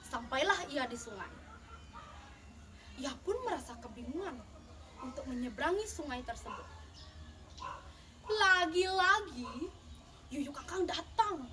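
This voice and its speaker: Young woman, high voice, very animated dramatic storytelling, emphatic swells. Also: unhurried, long pauses. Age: young adult. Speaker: storyteller in a school storytelling contest. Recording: distant mic, clearly noisy background.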